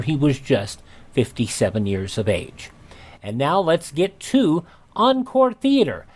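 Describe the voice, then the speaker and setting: A man's voice: fairly high pitch, a little mellow. A man hosting a radio program, presenting reads and introductions to listeners. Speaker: radio host.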